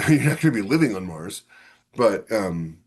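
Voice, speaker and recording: Lower-voiced man in casual discussion. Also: very animated, moderate pace, slightly rough. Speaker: podcast co-host.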